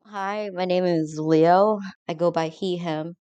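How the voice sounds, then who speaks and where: A man's voice: high-pitched, steady tone, slow, a little mellow, clear, resonant tone, dull audio. A young man from China, adopted young, speaking reflectively in a documentary interview, often saying "you know".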